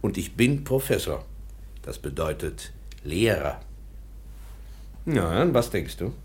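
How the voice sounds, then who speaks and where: A kindly teacher in a radio play. His voice sits fairly low but moves with plenty of melody as he patiently explains things in simple words. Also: big pitch swings, slow pace, exaggerated voice. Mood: gentle, patient. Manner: gentle, explaining simply as to a child. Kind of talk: German radio drama acting.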